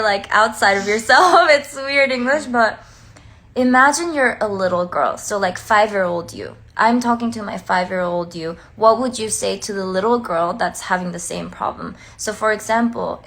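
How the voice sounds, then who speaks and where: Woman, mid-pitched, chatty livestream talk, heartfelt, lots of "like.". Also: a bit bright. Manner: chatty, heartfelt, many "like" fillers. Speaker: singer and dancer on a livestream. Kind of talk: casual livestream chat.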